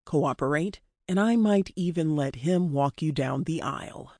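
A woman's voice: low-pitched, measured pace, mellow, dry recording, studio quality. A woman voicing a hard, mocking male kidnapper. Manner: curt, mocking commands.

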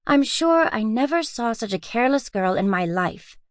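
A woman's voice: fairly high pitch, expressive, moderate pace, no echo, clean studio sound, voice pushed up, close to an everyday voice. A woman doing a sulky, impatient young girl. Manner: impatient, sharp. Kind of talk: audiobook character reading.

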